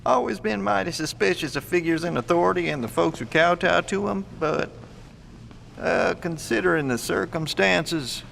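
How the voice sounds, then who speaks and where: Guy doing a gravelly survivalist in an audio drama, highish pitch, folksy and blunt, plain talker. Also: expressive, normal speed, fairly bright tone, a little hiss or hum, exaggerated voice. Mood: suspicious, candid. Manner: folksy, colloquial, plain-spoken. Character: folksy male survivalist, suspicious of authority, blunt and friendly. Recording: long echoey reverb of an empty hall.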